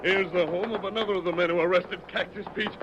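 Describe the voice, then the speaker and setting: An actor playing a righteous, resolute masked rider of the West in an old-time radio drama, with a clipped, authoritative delivery. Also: male voice, high voice, fairly even intonation, fast, music in the background, natural voice. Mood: rousing. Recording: dull lo-fi old radio recording.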